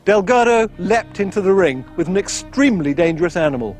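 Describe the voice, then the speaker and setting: A man's voice: sits high, expressive, brisk. A man narrating a documentary in dramatic, scripted narration. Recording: documentary audio with music.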